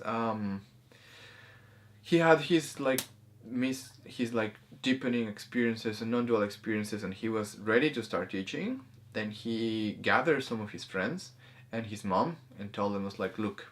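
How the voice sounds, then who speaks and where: A man co-hosting a casual review conversation, speaking haltingly and rephrasing as he goes. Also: mid-range voice. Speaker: co-host of a movie review. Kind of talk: casual conversational discussion of film and spirituality.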